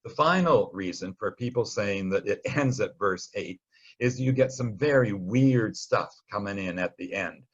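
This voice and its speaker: A man with a fairly low, husky voice leads a Bible study. He explains things informally, like a conversational storyteller with a wry streak. The recording sounds a little dull. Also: expressive, normal speed. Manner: conversational, wryly storytelling, acts out short quotes.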